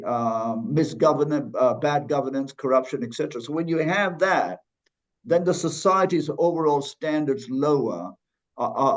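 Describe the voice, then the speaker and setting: A man from Malaysia talks in a thoughtful, halting way, restarting his sentences as he works through his points. His voice sits at a middling pitch, and the recording sounds dull and lo-fi. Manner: thoughtful, many restarts and fillers. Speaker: guest. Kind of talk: conversational interview.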